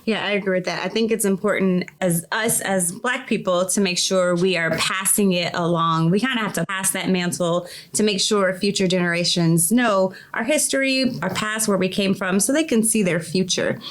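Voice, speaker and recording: A woman's voice: mid-range voice, normal speed, few pauses. A woman hosting a podcast, interviewing a guest in a conversational style.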